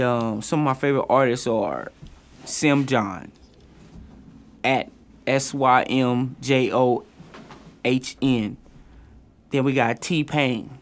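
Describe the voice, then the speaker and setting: A young man from St. Louis co-hosts a podcast in easy, slangy conversation. He shouts out local artists and spells their handles out slowly, one letter at a time. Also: mid-range voice. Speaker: podcast co-host. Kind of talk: casual chat.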